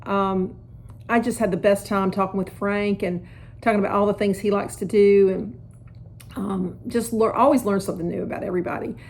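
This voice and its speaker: A woman's voice: medium pitch, steady tone, a little fast, a little mellow. Chatty woman podcast host. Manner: chatty, conversational. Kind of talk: casual interview chat.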